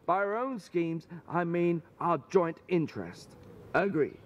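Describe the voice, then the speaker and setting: An actor playing a cool, calculating Victorian husband in a stage drama, speaking in formal, precise, mocking retorts. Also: male voice, fairly high pitch, expressive, unhurried, muffled recording, slight background noise. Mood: brisk, calculating. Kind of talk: theatrical period drama acting.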